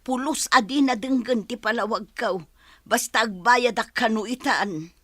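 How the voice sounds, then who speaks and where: A woman's voice: fairly high pitch, a little slow, a bit bright, dry recording. A woman playing a poor, humble mother who is behind on her debts. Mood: worried. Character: poor middle-aged mother in debt, humble.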